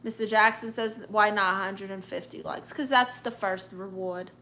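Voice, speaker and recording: A woman's voice: mid-range voice, steady tone, normal speed, pushed and bright, a little hiss or hum. A woman with a New York accent hosting a live stream, reading viewer comments aloud in a brash, sassy, joking way. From Brooklyn. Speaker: livestream host.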